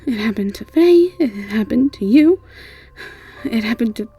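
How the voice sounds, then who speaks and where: A woman's voice: on the high side, expressive, normal speed, dark tone, breathy edge, no room sound, faint music behind, pitched above the performer's own voice, heavily acted. A woman voicing a caring, guilt-ridden young woman mentor in an audio roleplay, earnest and apologetic. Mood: self-doubting, upset.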